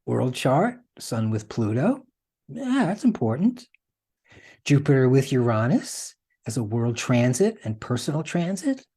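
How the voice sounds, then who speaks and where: Man presenting with very animated, swooping pitch. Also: breathy edge. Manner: conversational, checks in with rhetorical questions. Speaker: host and presenter. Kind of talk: informal explanatory presentation.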